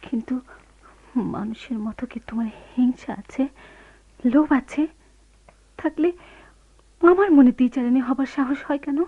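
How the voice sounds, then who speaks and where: Anguished restless lady, high voice, melodramatic radio play, muffled sound. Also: normal speed, long pauses. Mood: bitter, hushed. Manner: emotional, theatrical, intense. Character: anguished, restless woman torn between past and present. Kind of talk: melodramatic radio drama acting.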